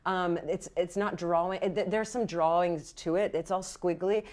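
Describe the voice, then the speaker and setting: A woman running a tabletop game as game master, improvising the narration in a halting, thinking-aloud way. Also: on the low side, steady tone, a little mellow.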